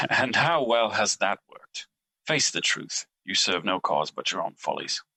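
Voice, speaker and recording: A man's voice: fairly low pitch, sing-song, a little slow, bright, forceful tone, slightly rough, no echo, full character voice, rough recording. A man playing Guilt as a personified emotion in an audio drama, cold and judgmental, with formal, mocking, accusing delivery.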